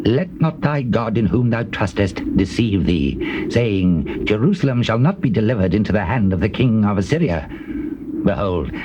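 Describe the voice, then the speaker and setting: An actor playing a boastful, threatening envoy of a conqueror, haughty and declamatory, in scripture drama. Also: male voice, lowish voice, close to an everyday voice. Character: boastful, threatening male Assyrian conqueror's message-bearer. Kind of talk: dramatized scripture reading, classical stage delivery. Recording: noisy old cassette tape.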